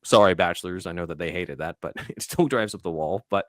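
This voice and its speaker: Low-voiced man breaking down a film. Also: a little fast, no echo, clean studio sound. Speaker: podcast host. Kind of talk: casual film breakdown, talking through scenes.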